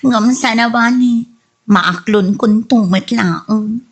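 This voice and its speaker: Woman playing a husky, whiny, melodramatic girlfriend. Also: on the high side, slow, full character voice. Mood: glum, reluctant. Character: melodramatic, clingy, grieving young gold-digging girlfriend. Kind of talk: comedy radio drama acting.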